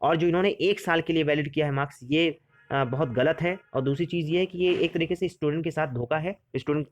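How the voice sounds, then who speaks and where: A man's voice: a little high, fast talker. A young man giving an informal explainer lesson on an exam. He talks in a conversational way, repeats himself and keeps checking in with the listener. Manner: repetitive, conversational, keeps saying "theek hai". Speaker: exam coaching presenter.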